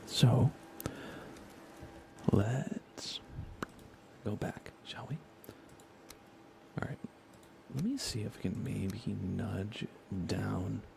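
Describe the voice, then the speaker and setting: A soft-spoken man hosts a relaxed ASMR gaming stream in a low, gravelly voice. He talks in a hushed, casual way and often drops into a whisper, with faint noise behind him. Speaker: livestream host. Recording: faint computer fan noise. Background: computer fans, game ambience.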